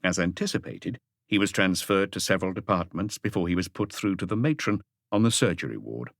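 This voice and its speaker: A man's voice: sits low, animated, a little fast, vocal fry, dry recording, clean studio sound. A man reading a mystery novel aloud as an audiobook narrator, in a scripted literary style.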